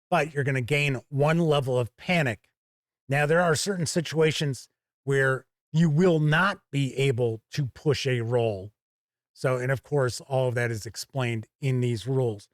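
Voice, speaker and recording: A man giving an explanatory game review, talking through rules in short, measured, emphatic phrases. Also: on the high side, dry recording, clean studio sound. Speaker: board game reviewer and host.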